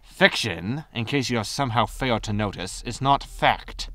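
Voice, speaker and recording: A man's voice: mid-range voice, normal speed, bright, forceful tone, dry recording, close to an everyday voice. Flippant, greedy space captain played by a man, dry sound. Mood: dismissive. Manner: flippant, self-excusing. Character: greedy, breezy disgraced male space haulage captain. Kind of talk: science fiction comedy audio drama acting.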